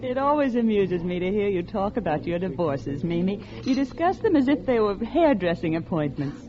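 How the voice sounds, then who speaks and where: A woman's voice: medium pitch, very animated, normal speed, crowd noise, lightly acted. Actress as a lilting, poised society woman, old radio. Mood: amused, dismissive. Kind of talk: 1940s radio drama acting. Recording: noisy muffled old radio recording.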